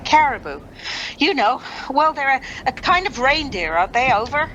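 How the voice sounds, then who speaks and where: A woman's voice: sits high, big pitch swings, moderate pace, bright, forceful tone, lo-fi sound, slight background noise, heavily acted. A woman playing a chatty, businesslike rescue officer making radio reports in a radio play. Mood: curious, puzzled. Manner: businesslike yet folksy.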